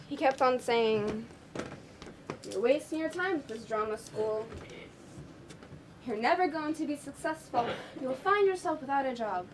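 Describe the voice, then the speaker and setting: Casting: young woman playing discouraged, defiant drama student, high voice, amateur stage play, noisy recording. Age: teenager or young adult student performer.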